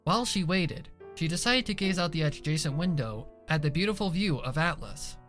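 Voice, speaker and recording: A man's voice: fairly high pitch, expressive, brisk. A man reading scripted dramatic narration for a fan fiction story. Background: soft music behind the voice.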